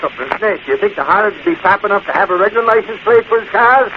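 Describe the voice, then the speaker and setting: An actor playing a brash, fast-talking newspaper reporter in 1940s radio acting style. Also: male voice, sits high, animated, dull audio. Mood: indignant. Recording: hissy 1940s radio recording.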